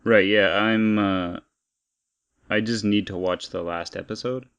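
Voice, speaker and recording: A man's voice: fairly low pitch, steady tone, measured pace, a bit bright, clear, resonant tone. A man co-hosting a casual pop-culture podcast, talking in an opinionated, emphatic and rambling way. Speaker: podcast co-host.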